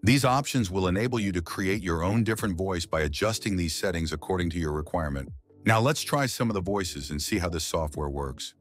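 Male tutorial host, low-pitched, scripted narration with music underneath. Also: brisk.

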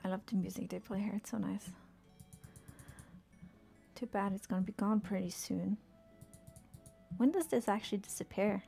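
Young woman streamer, low-key gameplay chatter; mellow, fry-edged, soothing, half-whispered asides. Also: normal speed, long pauses, faint music behind. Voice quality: soothing soft voice. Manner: murmuring to herself, often dropping to a whisper. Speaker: game streamer. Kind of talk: casual gameplay commentary.

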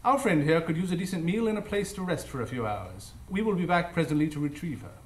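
An actor playing a composed, precise gentleman detective in a live radio drama, speaking formally. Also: fairly high pitch, lively intonation, quick pace, natural voice. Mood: dry, composed. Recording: echoey live stage recording.

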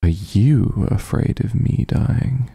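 A man playing a teasing, protective vampire mafia heir in an intimate ASMR roleplay, with a husky, gravelly, breathy voice and a sly murmur. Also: medium pitch, dry recording, studio quality, exaggerated voice. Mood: playful, affectionate. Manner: slow, smooth-tempered, teasing drawl with soft murmurs.

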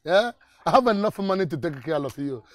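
A man's voice: high voice, animated, brisk, no echo. An actor playing a boastful, blustering rich male village politician. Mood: coaxing.

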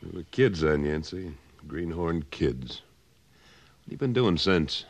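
A man playing a tough, steady cattleman and war veteran in an old-time radio western, firm and terse. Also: deep voice, a little slow, husky, heavily acted. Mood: easygoing.

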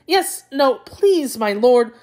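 Sly jackal for a storybook, played by a woman: polite, dithering, playing confused, with very animated swooping pitch. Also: measured pace. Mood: apologetic, confused. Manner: polite, dithering, feigning bewilderment. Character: sly jackal feigning befuddled, fretful confusion. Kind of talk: storybook character voice.

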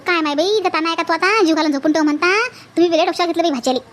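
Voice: very high-pitched, very fast, fairly bright tone. A performer voicing a bossy, grumbling village auntie in a family comedy cartoon, scolding and complaining in a colloquial Vidarbha Marathi accent. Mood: exasperated complaining.

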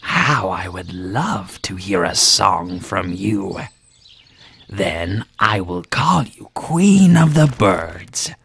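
A man voicing a sly, flattering fox in a children's fable, with a low, gravelly, husky voice and drawn-out delivery. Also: heavily acted. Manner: slow, drawn-out, smooth-talking flattery. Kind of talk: children's storybook character acting.

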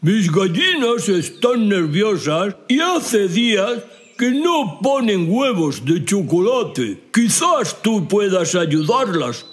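Big gentle cartoon ogre, polite, slow, high for a man. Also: big pitch swings, full character voice. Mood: apologetic. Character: big, slow, apologetic male ogre farmer. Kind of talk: children's cartoon acting. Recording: loud music behind the voice.

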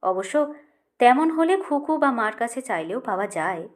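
A woman with a fairly high voice narrates literary fiction for an audiobook. Her reading is measured and expressive, shaped as storytelling.

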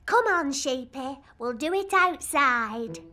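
Excitable, high-voiced little princess. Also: female voice, slow, background noise. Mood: bossy, excited. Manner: bossy, emphatic, childlike. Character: excitable, stubborn little princess girl playing vet. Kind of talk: children's cartoon voice acting. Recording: music behind the voice.

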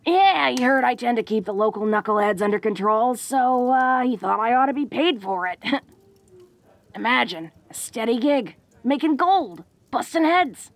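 A woman playing a tough but awkward, affectionate young orc woman in an intimate roleplay audio drama, talking in a folksy, halting way. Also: on the high side, lively intonation. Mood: excited, proud. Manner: folksy, halting tough-girl talk with small chuckles.

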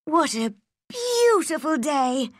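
A woman voicing a spirited, proud, quick-tempered young girl in a storybook, with emphatic, emotional delivery. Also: high voice, lively intonation, pushed and bright, no echo, studio quality, voice pushed up, heavily acted. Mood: happy, affectionate.

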